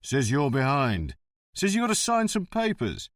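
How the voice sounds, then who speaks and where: A man's voice: mid-range voice, huge pitch swings, normal speed, dry recording, studio quality, voice pushed up. A man voicing a harried police officer who delivers bad news casually and bluntly. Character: harried male police officer carrying paperwork.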